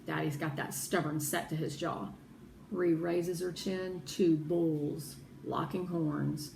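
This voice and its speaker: Expressive woman reading aloud, echoey noisy room. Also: low-pitched, slow pace. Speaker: teacher reading a children's novel aloud. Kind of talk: expressive read-aloud narration for children. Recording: roomy echo with clear background noise.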